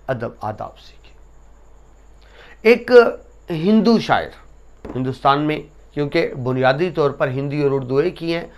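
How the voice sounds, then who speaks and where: Man teaching, reciting verse with emphasis. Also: fairly high pitch. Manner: recites couplets slowly with emphasis. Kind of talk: lecture on essay writing.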